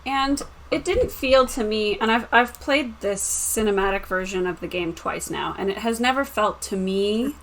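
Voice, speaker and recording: A woman's voice: mid-range voice, moderate pace, hardly any pauses, a bit bright, slight background noise, poor audio. A woman taking part in a casual review discussion, responding and adding her opinions. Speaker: discussion participant and experienced player.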